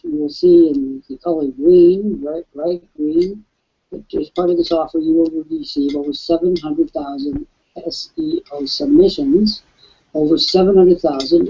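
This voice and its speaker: A raspy-voiced man hosting a live webcast and pitching an offer, emphatic like a salesman. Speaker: webcast host. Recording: dull lo-fi webcast audio.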